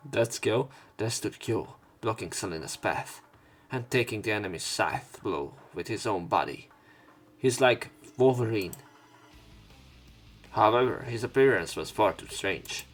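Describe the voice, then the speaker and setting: A man's voice: on the low side, animated, unhurried. A man reading a visual novel aloud as a let's play narrator, dramatic in the story parts and casual in his commentary. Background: game music.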